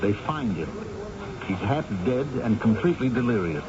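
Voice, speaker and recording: Radio drama casting: an insistent, persuasive reporter with a sales-pitch delivery. Lively intonation, muffled old recording. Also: male voice, moderate pace, crowd noise. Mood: eager, persuasive. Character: persuasive, fast-talking male newspaper reporter, insistent.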